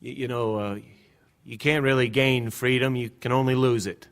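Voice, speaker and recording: An actor playing an earnest newspaper executive who speaks haltingly in a radio drama. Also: male voice, mid-range voice, steady tone, smooth, lightly acted. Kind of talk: radio play acting.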